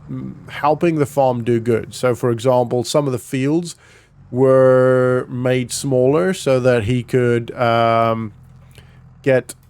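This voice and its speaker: A man's voice: mid-range voice, a little mellow, clear, resonant tone. A man giving casual, rambling vlog commentary while he plays a game, often correcting himself as he goes. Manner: rambling, self-correcting, chatty. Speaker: gaming video host.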